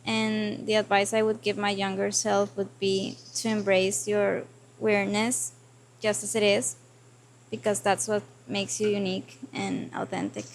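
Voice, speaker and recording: A woman of twenty-eight giving gentle, quiet advice. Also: mid-range voice, flat delivery, a little slow, slight background noise. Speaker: trip participant.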